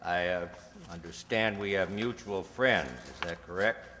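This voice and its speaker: A man's voice: sits low, measured pace, sharp tone. A man playing a wary, cautiously polite narcotics dealer in a radio crime drama, in an echoey hall. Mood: oily, cautious. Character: wary, smooth-talking male narcotics dealer. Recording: echoey hall, faint noise.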